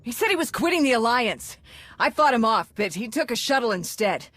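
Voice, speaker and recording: An actress playing an excitable, indignant young crew member in a video game, agitated and exclamatory. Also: female voice, fairly high pitch, brisk, no echo.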